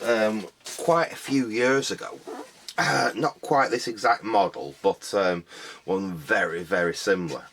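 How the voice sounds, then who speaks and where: A man talking casually to camera about his car boot finds, rambling and repeating "like I said", his voice a little croaky. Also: on the low side, fairly bright tone. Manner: rambling, repeats "like I said", many ums. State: slightly croaky voice.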